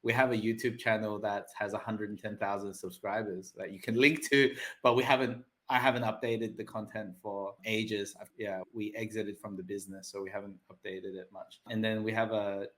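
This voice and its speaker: A man's voice: on the low side, fairly even intonation, moderate pace, few pauses, fairly bright tone. A man, talking loosely as a podcast guest and often checking in with tag questions. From Australia. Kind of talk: casual interview talk.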